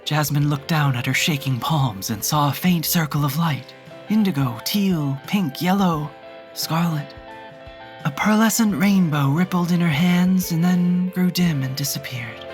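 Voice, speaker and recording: Solemn fiction narrator, middle-pitched androgynous voice, measured dramatic reading, faint music behind.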